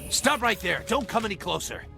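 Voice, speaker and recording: A man's voice: sits high, sing-song, brisk, gravelly, music in the background, exaggerated voice. A man voicing a scared, nervous warehouse worker in a video game. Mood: nervous bluffing. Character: jittery male warehouse worker, scared, bluffing guard.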